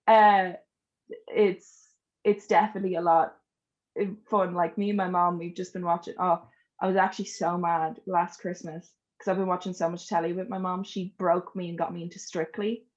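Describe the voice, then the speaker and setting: A woman's voice: lowish voice, level tone, moderate pace, highs cut off. A young adult woman with an Irish accent, chatting casually and informally as a podcast guest telling personal stories. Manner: chatty, informal, laughs easily.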